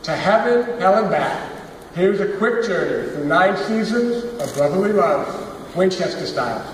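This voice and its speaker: A network president giving a scripted, polished presentation of the season lineup from a large theater stage. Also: male voice, sits high, animated, a little slow, recorded from a distance, slight background noise. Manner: polished, announcing. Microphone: far from the recording device, through the hall speakers. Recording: echoey hall recorded from the audience.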